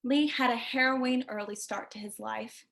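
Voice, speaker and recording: A woman's voice: on the high side, moderate pace, gravelly, fairly bright tone. A woman reading scripted narration as the host of a poetry feature.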